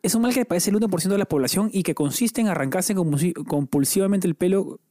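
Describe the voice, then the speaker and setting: A man's voice: high-pitched, quick pace, dark tone, no echo, clean studio sound. A Peruvian man co-hosting a podcast, chatting casually and stumbling over long words while reading definitions aloud. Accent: Peruvian Spanish. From Peru. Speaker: podcast co-host. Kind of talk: casual chat.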